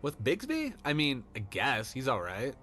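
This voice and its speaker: A man's voice: mid-range voice, wildly swooping pitch, unhurried, sharp tone, soft background music, pitched above the performer's own voice, full character voice. A man voicing a reluctant ten-year-old schoolboy in story dialogue, plaintive and grudging.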